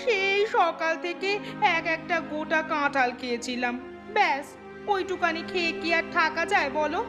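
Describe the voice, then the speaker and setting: A woman's voice: very high voice, normal speed, over music. A woman playing a gluttonous, quarrelsome wife in a cartoon, nagging and melodramatic. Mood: demanding.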